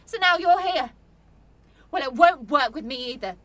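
An actress playing a guarded, blunt young student in a radio drama, speaking in a clipped, defensive way. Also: female voice, high-pitched, animated, moderate pace, a bit bright, dry recording. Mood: angry. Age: young adult.

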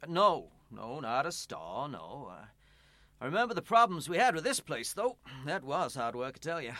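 A man's voice: on the high side, very animated, normal speed, pushed and bright, no room sound. A man acting in a radio play as a kindly but evasive church pastor, stammering and placating in naturalistic dialogue. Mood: reassuring, reflective.

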